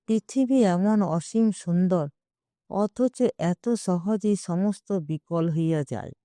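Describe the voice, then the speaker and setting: A woman narrates literary fiction in a measured, expressive way. Her voice is mellow and mid-pitched, and she takes her time over each phrase. The sound is dry. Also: dry recording. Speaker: audiobook narrator. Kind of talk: literary short story narration.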